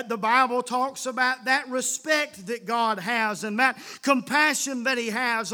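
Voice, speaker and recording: A man preaching a fervent evangelical sermon, emphatic and repetitive, punctuating his points with amens and building toward shouted peaks. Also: extremely high pitch.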